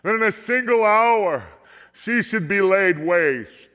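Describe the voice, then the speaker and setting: A man's voice: high-pitched, lively intonation, slow pace, sharp tone, smooth, pitched above the performer's own voice, heavily acted. A man voicing grieving merchants and seafarers in a scripture reading, crying out a lament in a declamatory way. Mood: wailing grief. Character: wailing merchants and seafarers lamenting a fallen city. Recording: echoey hall, long reverb.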